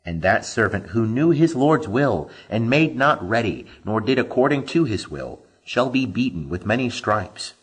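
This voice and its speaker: A man reading scripture aloud as solemn scripted narration, in a measured, declamatory way with emphatic phrasing. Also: mid-range voice, sing-song, breathy edge, rough recording. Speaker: Bible reader.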